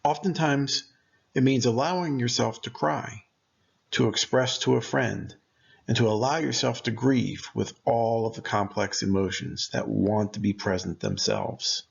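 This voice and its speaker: Male meditation narrator, mid-pitched and creaky, gentle deliberate delivery, slightly dull recorded sound. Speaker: meditation guide.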